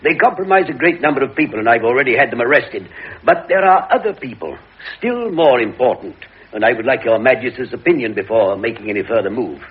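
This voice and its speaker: Guy acting a polite police chief at court, lively intonation, deferential, tinny noisy old radio play. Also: mid-range voice, fast talker, close to an everyday voice. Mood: smooth, deferential. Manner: deferential, hesitant, diplomatic. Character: courteous, tactful police chief serving the king. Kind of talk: 1950s British radio drama acting.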